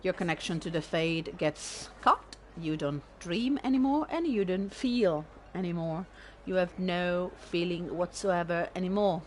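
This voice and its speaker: A woman's voice: lowish voice, a little slow, hardly any pauses, a little hiss or hum. Italian woman doing sardonic game commentary. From Italy. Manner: sardonic, animated, swears freely. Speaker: streamer. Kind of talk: casual let's-play commentary.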